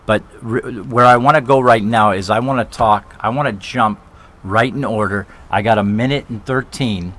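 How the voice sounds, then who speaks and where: An excitable man hosts a live talk show, his voice sitting a little low. He jokes between questions and tends to repeat his words. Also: normal speed. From New York. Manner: excitable, repeats himself, jokey asides.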